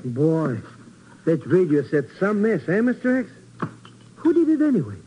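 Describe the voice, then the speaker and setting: An actor playing a cheeky, excitable small-time sidekick in a 1950s radio drama, stammering and speaking in colloquial, shaky grammar. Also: male voice, high voice, normal speed, lo-fi sound, slight background noise. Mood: anxious, joking. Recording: old 1950s radio recording.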